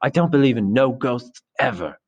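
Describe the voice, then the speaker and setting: Man doing an indignant, high-pitched stubborn schoolboy. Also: lively intonation, moderate pace, husky, highs cut off. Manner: indignant, protesting.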